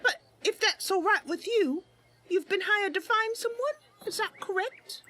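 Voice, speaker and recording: A woman's voice: very high-pitched, unhurried, bright, forceful tone, voice pushed up, exaggerated voice. A woman voicing a naive, eager young female wood elf adventurer in a chirpy, hesitant, earnest manner.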